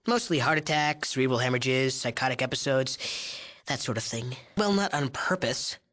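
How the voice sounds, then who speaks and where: An actor playing a cocky, amoral young drug chemist in a video game, sarcastic, whiny and leering, prone to stammering when flustered. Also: male voice, medium pitch, normal speed, fairly bright tone, natural voice. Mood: smug, bragging. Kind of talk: video game character dialogue.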